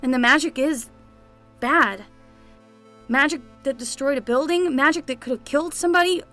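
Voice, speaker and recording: A woman's voice: sits high, moderate pace, bright, forceful tone, soft background music. A woman playing an earnest, exasperated young summer nanny and reluctant detective's assistant in a scripted fiction podcast, talking in a conversational way. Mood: alarmed, urgent.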